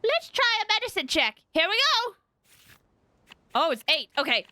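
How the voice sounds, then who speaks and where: A woman's voice: extremely high pitch, big pitch swings, moderate pace, bright, forceful tone, dry recording. A woman streaming a game, chatting casually and playfully with her viewers as she plays. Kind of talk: casual livestream game commentary.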